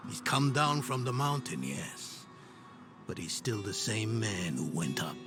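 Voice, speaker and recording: Gravelly, weary older man. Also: lowish voice, very animated, unhurried. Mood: bitter, disappointed. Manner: sardonic, grumbling. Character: weary, sardonic older male scholar, bitter and grudging. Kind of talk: dramatic video game acting. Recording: game audio with music underneath.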